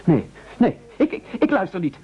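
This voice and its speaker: A man's voice: sits high, sing-song, normal speed, slightly rough, full character voice. An actor in a radio play playing a stubborn, self-pitying little man, fussy and stammering as he protests. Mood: anxious refusal. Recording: dull, lo-fi radio play recording.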